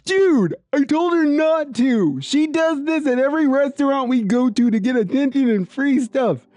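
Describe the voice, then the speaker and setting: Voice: high voice, expressive, moderate pace, dark tone, no echo, voice pushed up. A storyteller voicing an embarrassed, fed-up young boyfriend in a casual, exasperated way.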